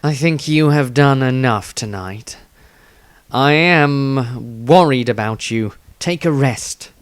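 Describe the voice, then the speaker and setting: A small, proud male wizard and trainee doctor from a fantasy audiobook, read by a male narrator. He talks in a medium-pitched voice, blunt and matter-of-fact, recorded dry. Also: takes it slowly, no room sound, close to an everyday voice. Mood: concerned, firm.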